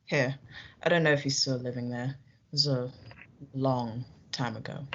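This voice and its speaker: A woman plays a reminiscing medical school dean for a radio mystery. The voice is strikingly deep for a woman, with a dry delivery. The audio is slightly dull. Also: steady tone, moderate pace, noisy background. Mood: reminiscing, guarded. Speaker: radio drama actor. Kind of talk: radio play acting.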